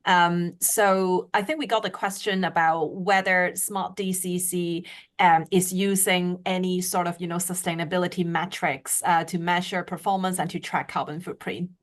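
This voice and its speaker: Hesitant woman moderator, lowish voice. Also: fairly even intonation, moderate pace. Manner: hesitant, many "uh" and "um" fillers. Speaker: webinar moderator. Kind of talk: moderating a webinar Q&A.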